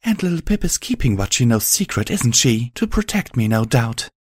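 A man voicing a gentle, caring young mare healer who speaks softly and soothingly. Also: medium pitch, big pitch swings, moderate pace, slightly rough, dry recording, clean studio sound, voice pushed up. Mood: bitter.

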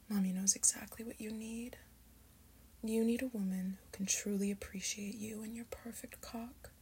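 A woman acts out a mature succubus, seductive and doting, in an intimate audio roleplay. She speaks mostly in a breathy whisper at an unhurried, slow pace. Her manner is coaxing and soothing, with a little noise faintly behind her. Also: medium pitch, darkish tone, close to an everyday voice. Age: adult.

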